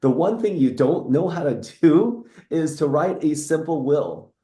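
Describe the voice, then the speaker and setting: Guest, middle-aged US man, mellow, reflective and rambling, echoey room. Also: on the high side, expressive, unhurried. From United States (Seattle; born in Taiwan). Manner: reflective, rambling with restarts and soft laughs. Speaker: guest, entrepreneur. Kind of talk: casual interview.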